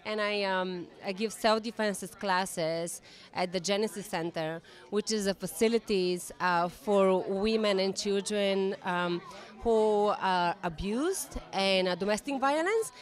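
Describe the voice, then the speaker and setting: A woman's voice: mid-range voice, unhurried. A woman with a Bulgarian accent giving earnest, unscripted interview answers at a red carpet event. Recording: crowd chatter behind voice.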